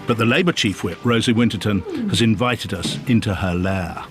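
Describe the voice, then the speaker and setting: A man's voice: lowish voice, normal speed. A man narrating a scripted television political report. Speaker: documentary narrator. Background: loud background music.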